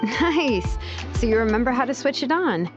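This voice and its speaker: A woman's voice: fairly high pitch, lively intonation, moderate pace, lightly acted. A woman voicing a breezy, inquisitive young female mechanic in an anime-style video game, musing as she talks. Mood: intrigued. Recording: muffled game stream with music.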